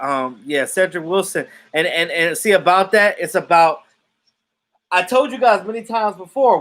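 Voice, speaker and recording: A man in his thirties hosts a live sports stream and talks to his viewers in a loose, unscripted way. He is blunt and outspoken and swears freely, and his voice sits a little high for a man. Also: a bit bright. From Jamaican family roots. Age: 30s. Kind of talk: casual sports chat reading viewer comments.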